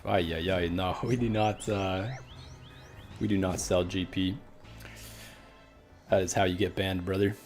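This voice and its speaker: A man's voice: deep voice, level tone, unhurried, long pauses, darkish tone, faint music behind. A young Canadian man streaming a game, chatting casually with viewers in a laid-back way, with a Canadian accent. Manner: laid-back, reads chat aloud, many fillers. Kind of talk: casual live-stream chat while gaming.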